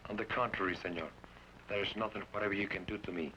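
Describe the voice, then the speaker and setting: An actor playing a grieving, defiant Mexican village blacksmith, in 1940s radio acting. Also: male voice, lowish voice, steady tone, fast talker, bright, forceful tone. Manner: defiant and bitter. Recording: muffled old radio broadcast with hiss.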